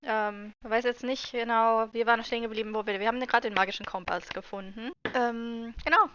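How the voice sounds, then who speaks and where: A woman's voice: fairly high pitch, steady tone, fast, pushed and bright. A woman giving casual let's play commentary, informally thinking aloud as she goes. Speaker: gaming streamer.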